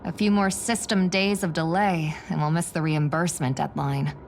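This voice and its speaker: A woman voicing a brisk, strict office superior in a science fiction video game, curt and commanding. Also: deep voice, fairly even intonation, a little hiss or hum. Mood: stern, impatient.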